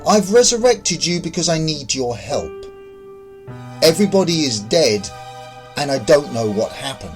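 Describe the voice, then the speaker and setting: A man voicing a calm, courteous alien visitor with a measured, explanatory manner in a science fiction story. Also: on the high side. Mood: polite, puzzled. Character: calm otherworldly visitor of indeterminate sex, puzzled, courteous.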